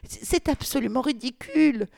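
A woman reading aloud voices a frightened young woman who pleads in a high, pressed voice. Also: moderate pace, vocal fry, a little mellow, dry recording, studio quality. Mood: pleading, panicky.

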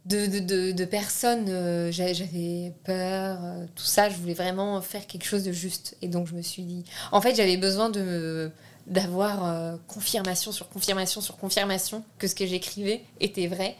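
Hesitant young French woman, lowish voice. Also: steady tone, normal speed. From France. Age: young adult. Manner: hesitant, many restarts and fillers. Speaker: filmmaker guest. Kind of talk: casual interview.

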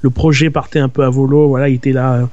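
A man co-hosting a casual motorsport podcast who explains points briskly and teases the others. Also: mid-range voice, flat delivery, mellow, dry recording, dull audio. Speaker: podcast co-host.

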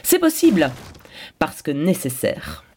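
A woman's voice: sits low, wildly swooping pitch, slow pace, warm tone, slightly rough, full character voice. An actress playing a determined, idealistic woman in a scripted audio drama, speaking with insistent, declamatory phrasing. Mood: resolute, impassioned. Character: determined, idealistic woman demanding more radio fiction.

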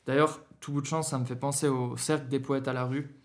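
A young man from Germany, a guest musician in a casual podcast interview, talking in a relaxed, slangy way with many fillers and restarts. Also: medium pitch, brisk.

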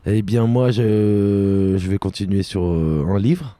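A man's voice: fairly low pitch, slow pace, dark tone. A young man co-hosting a casual radio chat, joking and hesitant, with lots of fillers. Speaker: co-host.

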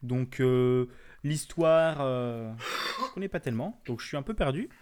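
A man's voice: medium pitch, expressive, measured pace, a little mellow, clear, resonant tone. A man co-hosting a casual video game podcast, joking along in crude slang. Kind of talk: casual gaming chat.

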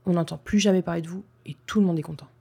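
A woman playing a stern, composed police inspector in an audio drama, speaking formally and methodically with a slightly husky voice. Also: on the low side, steady tone, warm tone. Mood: calm, persuasive. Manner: formal, measured, procedural. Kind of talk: scripted audio drama dialogue.

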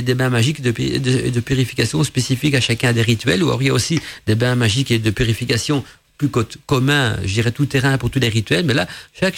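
Man, native Dutch speaker, raspy fry in the voice, talk-show host, hesitant, restarts often. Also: mid-range voice. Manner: hesitant, many restarts and searches for words. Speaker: podcast host. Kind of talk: radio talk answering a listener question.

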